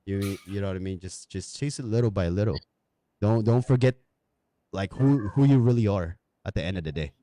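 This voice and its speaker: A man's voice: fairly low pitch, a little fast, dark tone. A man hosting a podcast, chatting casually in slangy, informal language as he interviews a guest. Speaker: podcast host.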